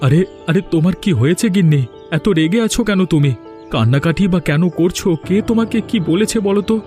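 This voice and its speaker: A man's voice: on the high side, animated, fast talker, warm tone, close to an everyday voice. A man playing a poor, patient village husband in a cartoon, speaking in an earnest, reassuring way. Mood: startled, concerned. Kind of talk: Bengali cartoon voice acting. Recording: music behind the voice.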